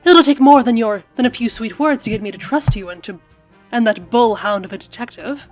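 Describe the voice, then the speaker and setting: A bitter, indignant man, a lawyer locked in a cell, snapping back defensive retorts, as a woman reads him in her own tired, weak voice. Her pitch sits a little high, and faint music plays underneath. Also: a little fast, lightly acted.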